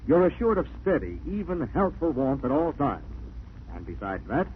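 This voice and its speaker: An American man reading scripted narration and sponsor messages as a 1940s radio announcer. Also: on the high side. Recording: scratchy, narrow-band 1940s radio recording.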